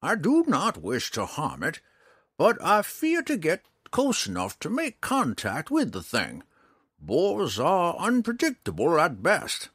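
A man voicing a crafty, mischievous old ranger with brisk, theatrical, formal speech in a fantasy audiobook. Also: fairly high pitch, wildly swooping pitch, fairly bright tone, slightly rough, no echo, studio quality, voice pushed up, heavily acted. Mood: gruff, instructing.

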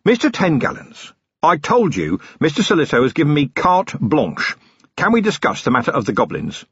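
Male narrator as a hard-bitten city police commander: brisk, dry, animated delivery, husky edge, dull close recording. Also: on the high side, no echo, voice pushed up, exaggerated voice. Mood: firm.

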